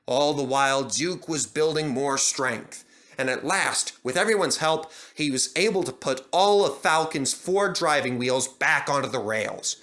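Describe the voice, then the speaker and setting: A man reading a children's story aloud in dramatic, expressive scripted narration. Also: a little high, unhurried. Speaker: narrator and reader.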